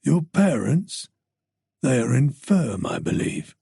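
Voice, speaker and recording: A male narrator voicing a mysterious, commanding man who speaks slowly and quietly with menace. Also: medium pitch, very animated, vocal fry, slightly rough, no echo, clean studio sound, exaggerated voice. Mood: coldly menacing. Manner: slow, quiet, threatening.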